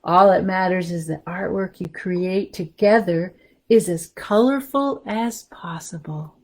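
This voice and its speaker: A woman's voice: fairly low pitch, measured pace, a little mellow. A woman hosting a story time for young children, reading aloud and chatting casually in simple, encouraging language.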